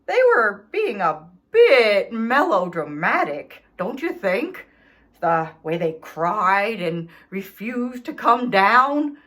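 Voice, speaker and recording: A woman's voice: medium pitch, big pitch swings, slow pace, a bit bright. A woman voicing an indignant, self-pitying male dog in a children's storybook, reading his letters with theatrical, aggrieved emphasis. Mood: defensive, snide. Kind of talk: expressive children's storybook read-aloud.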